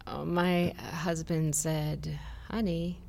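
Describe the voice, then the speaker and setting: A woman's voice: sits low, level tone, slow, creaky, darkish tone, heavily acted. An actress playing a dry, self-mocking middle-aged woman, wry and wounded, in a naturalistic audio drama. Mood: bitter, self-mocking.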